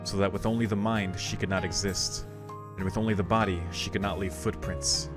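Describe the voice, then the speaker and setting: Low-voiced man over music. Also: fairly even intonation, a little fast. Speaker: streamer playing a visual novel. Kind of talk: let's play commentary and reading game text aloud. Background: game music.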